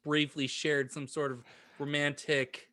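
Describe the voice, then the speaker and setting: A man co-hosting a podcast in casual group chat, talking in a halting, rambling way. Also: fairly high pitch, level tone, fairly bright tone. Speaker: podcast co-host.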